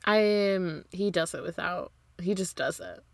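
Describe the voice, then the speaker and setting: A woman's voice: fairly low pitch, measured pace, gravelly, no echo. A young woman co-hosting a podcast, chatting casually with swearing in a blunt, rambling way. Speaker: podcast co-host.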